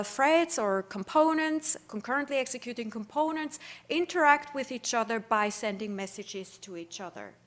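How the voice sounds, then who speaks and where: A woman's voice: high voice, pushed and bright. A middle-aged woman from Switzerland, giving a keynote lecture at a podium, explaining in a friendly way with frequent restarts. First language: Swiss German. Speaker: keynote speaker. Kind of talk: conference lecture. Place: wood-panelled lecture hall, at a podium. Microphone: podium microphone.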